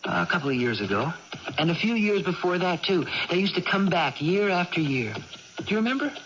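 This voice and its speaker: An actor playing a gentle, anxious, protective young farm brother in a vintage radio drama, earnest and cautious. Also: male voice, high-pitched, sing-song, brisk, exaggerated voice. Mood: gentle, coaxing. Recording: muffled noisy old radio broadcast.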